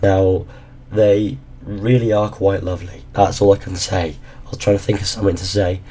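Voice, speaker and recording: A low, mellow male voice, worn hoarse as he loses his voice. He rambles casually and drops the odd swear word. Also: level tone, moderate pace. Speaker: gaming YouTuber. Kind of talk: casual ranking commentary.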